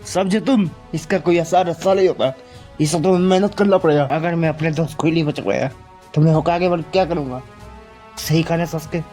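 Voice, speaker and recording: A man's voice: on the high side, steady tone, brisk, dark tone, soft background music, lightly acted. A man voicing a determined, loyal, hot-headed young male ninja in an anime fan dub, earnest and emphatic. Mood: fiery, determined.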